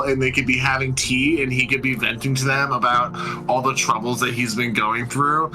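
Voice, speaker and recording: A man's voice: mid-range voice, low-quality recording. A man playing a tabletop game, talking casually and loosely as he improvises, with some swearing.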